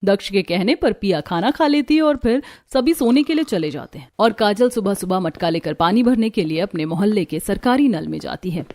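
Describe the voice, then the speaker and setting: A woman's voice: mid-range voice, animated, fairly quick, few pauses, dark tone. A woman reading scripted narration for a cartoon family story.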